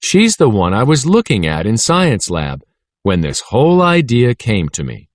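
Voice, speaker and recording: A man's voice: medium pitch, huge pitch swings, moderate pace, no room sound, clean studio sound. A man voicing a wry schoolboy who tells his own story in a casual way. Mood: musing.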